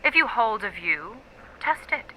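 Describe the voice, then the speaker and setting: A woman's voice: medium pitch, very animated, unhurried, pushed and bright, exaggerated voice, rough recording. An actress playing a self-assured female academic being interviewed in an audio drama, precise, articulate and emphatic. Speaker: interviewed expert.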